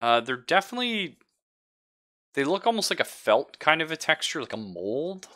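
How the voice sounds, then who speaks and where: A man running a tabletop roleplaying game, describing scenes to players in a halting, conversational way. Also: fairly high pitch, very animated, bright, forceful tone. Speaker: game master. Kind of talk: improvised narration of a live tabletop roleplaying game.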